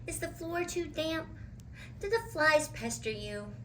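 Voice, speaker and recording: An anxious, motherly storybook woman, acted out by a woman reader, fussing tenderly in a raised, swooping voice. She asks with gentle worry, over some background noise. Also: sits high, expressive, unhurried, far from the mic, voice pushed up, low-quality recording. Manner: tender, worried questioning. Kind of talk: storybook character voice.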